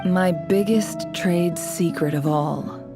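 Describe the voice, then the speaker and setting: A woman's voice: lowish voice, takes it slowly. A woman voicing a composed, proud, secretive desert-born crime boss in a video game, knowing and dryly teasing. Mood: cool, knowing. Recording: music playing behind the voice.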